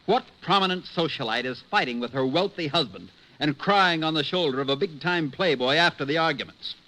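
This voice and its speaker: An actor playing a sarcastic, irritable wealthy husband in a 1950s radio drama, biting and dismissive. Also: male voice, on the high side, expressive, brisk, sharp tone, poor audio.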